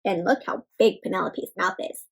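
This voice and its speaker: A woman leading an interactive children's read-aloud as a teacher, asking young listeners questions and pausing for their answers. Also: medium pitch, fairly even intonation, creaky, slightly rough. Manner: asks listeners questions, pauses, addresses children as friends.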